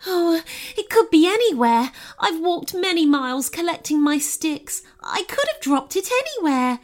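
A woman's voice: sits high, very animated, a little slow, voice pushed up. A woman voicing a kindly, anxious female goblin with a folksy, polite way of talking, in a storybook tale. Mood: distressed, fretting.

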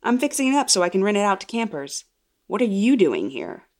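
A woman's voice: medium pitch, huge pitch swings, a little fast, a little mellow. A woman voicing a creepy, falsely genial man of the woods in a horror story, coaxing and patronizing. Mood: falsely reassuring.

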